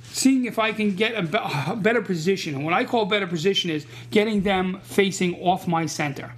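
A man's voice: high-pitched, fairly quick. A man who teaches martial arts, talking casually and bluntly, often repeating words as he restarts sentences. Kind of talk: casual podcast conversation.

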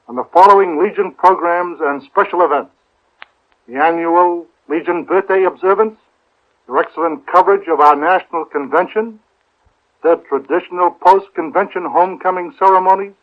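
A man reading a formal scripted award presentation as an official on an old radio broadcast. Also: on the high side. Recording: dull old radio recording.

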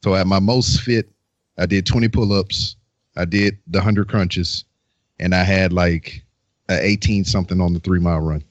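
Casual male storyteller, lowish voice, crude and sweary, dry but slightly muffled recording. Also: steady tone, normal speed, no room sound. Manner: crude, heavy swearing, anecdotal. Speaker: military veteran. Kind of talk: casual banter.

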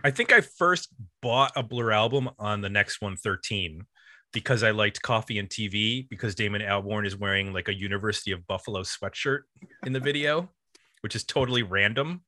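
A man's voice: lowish voice, fairly quick, bright, forceful tone, no echo, studio quality. A man hosting a music podcast, talking informally and reading out comments in a casual, dryly joking way. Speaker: podcast host.

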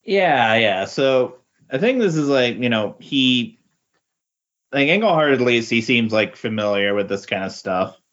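A man's voice: medium pitch, expressive, a little slow, a bit bright. Hesitant man in slightly muffled casual chat. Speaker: podcast co-commentator on comic books. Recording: slightly dull, lo-fi audio.

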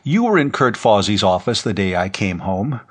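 A man's voice: lowish voice, big pitch swings, moderate pace, slightly rough. A man voicing a defiant young man in an audiobook, defending himself bluntly and insistently. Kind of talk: audiobook character voice.

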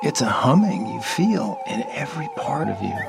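A man in an audio drama playing a gentle, lonely half-human dolphin creature, telling things in a halting, dreamy, earnest way. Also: medium pitch, sing-song, creaky. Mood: shy, confessing. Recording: music behind the voice.

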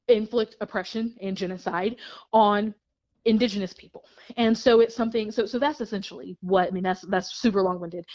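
A woman's voice: mid-range voice, a little mellow. Woman talking in a dull, lo-fi recording. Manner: many restarts and repeated words, laughs between points. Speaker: interview guest. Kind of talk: explaining history in casual conversation.

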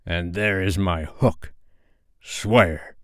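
Male narrator as villainous pirate captain, proud, theatrical, drawn-out phrasing, smooth tone, storybook reading. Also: low-pitched, fairly even intonation, no room sound, studio quality. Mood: commanding, scheming. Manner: grandiose, theatrical, drawn-out delivery.

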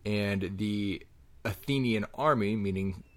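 A man's voice: lowish voice, slow pace. A man on a home podcast, casually telling a history story full of ums and hedges. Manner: rambling, hedging storyteller with many ums. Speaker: podcast co-host. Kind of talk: casual chat.

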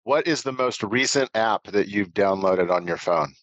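A man's voice: lowish voice, normal speed, a bit bright, no room sound. A man hosting a podcast interview, easygoing and conversational. The recording is dry with no room sound. It is also a little muffled. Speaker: podcast host. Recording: dry, slightly muffled audio.

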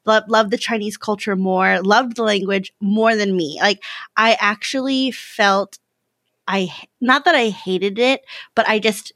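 A woman's voice: medium pitch, fairly even intonation, unhurried, a bit bright, no echo, clean studio sound. An American woman in her late twenties chatting casually as a podcast guest, informal and giggly, often saying "like". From California, United States. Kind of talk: casual chat.

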